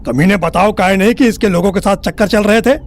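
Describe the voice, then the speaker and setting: A man playing an abusive, furious middle-aged family patriarch in an audio drama, insulting and threatening with a gravelly voice. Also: high voice, fast, slight background noise. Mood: furious, contemptuous. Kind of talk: Hindi audio drama acting. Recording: music bed under the voice.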